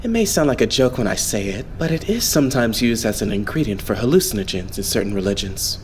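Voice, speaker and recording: A man voicing a polite, composed young idol leader in an anime fandub, explaining things in a measured way. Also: fairly low pitch, big pitch swings, slight background noise. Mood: calm explaining. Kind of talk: anime game fandub acting.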